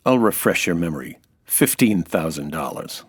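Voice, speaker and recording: A man's voice: deep voice, expressive, moderate pace, husky. A man playing a wry American nightclub owner and sleuth in old-time radio noir style, dry, tough and slangy. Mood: smug, menacing.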